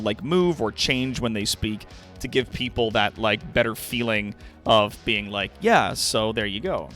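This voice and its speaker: A man's voice: mid-range voice, pushed and bright. A man hosting a livestream in a casual, rambling, chatty way, informal and given to swearing. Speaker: livestream host.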